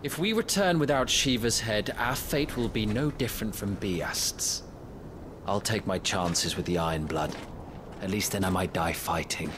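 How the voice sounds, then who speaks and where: An actor playing a grim, battle-hardened soldier in a dark fantasy video game. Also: male voice, on the low side, a little slow, background noise, lightly acted. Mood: grim, resigned. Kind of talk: cinematic fantasy game acting.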